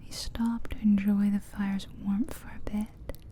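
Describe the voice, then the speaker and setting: A woman softly narrating an ASMR guided visualization in a breathy, whispery, soothing voice, close to the microphone, with gentle pauses. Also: mid-range voice, level tone. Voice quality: breathy, whispery soft-spoken voice. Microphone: close ear-to-ear ASMR microphone.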